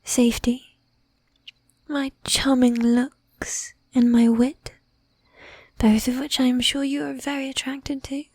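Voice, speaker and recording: ASMR roleplay: actress as possessive, courtly female vampire, high whispery voice, unhurried, very even melody. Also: no echo, heavily acted. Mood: flirtatious, persuasive. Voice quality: breathy, whispery. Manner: formal, archaic diction, slow and deliberate. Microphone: close microphone, dry.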